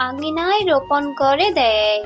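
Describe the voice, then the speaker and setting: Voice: high voice, lively intonation, unhurried, a bit bright. A narrator reading a scripted children's story, with music underneath.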